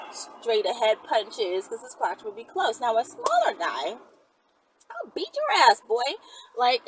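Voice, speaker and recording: A woman talking casually and bluntly to viewers in an animated, slangy, unscripted way, as the host of her own channel. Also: fairly high pitch, a little hiss or hum, low-quality recording.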